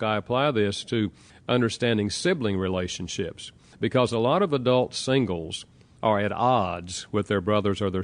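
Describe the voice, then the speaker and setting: A man with a Southern American accent speaks in a somewhat low voice. He answers interview questions patiently and explains his points step by step with everyday examples. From Southern United States. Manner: calm, explanatory, gives examples. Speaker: author being interviewed.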